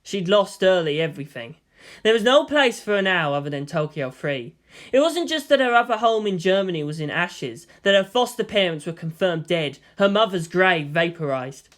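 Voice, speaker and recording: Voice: mid-range voice, big pitch swings, moderate pace, fairly bright tone. A narrator giving a dramatic scripted reading of a story.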